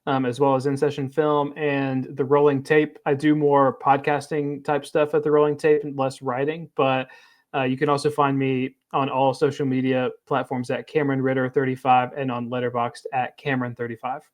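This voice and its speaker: Man, film critic, earnest, halting restarts, mid-pitched, casual podcast talk. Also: little pitch movement.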